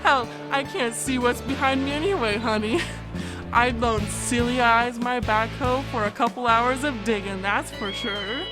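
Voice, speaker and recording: A woman playing a brash, bawdy blonde flirt in a comic audiobook, teasing and giggly with drawn-out words. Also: a little high, over music, lightly acted. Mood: bawdy, amused. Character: brash, bawdy blonde flirt, proud self-declared virgin.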